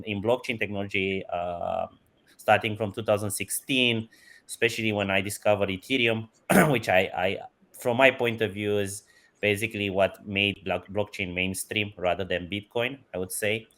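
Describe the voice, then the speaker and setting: A man's voice: fairly low pitch, fairly bright tone. A man, enthusiastically answering interview questions and chuckling often. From Romania. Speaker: guest, company CEO.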